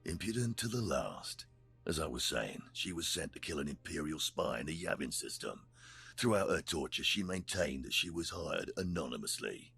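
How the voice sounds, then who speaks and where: An actor playing a gruff, blunt, menacing Sith jailer in a video game. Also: male voice, very deep voice, sing-song, normal speed, bright, forceful tone, full character voice. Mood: businesslike, blunt. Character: gruff, menacing male Sith jailer running slave pits. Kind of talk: scripted video game dialogue. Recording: music behind the voice.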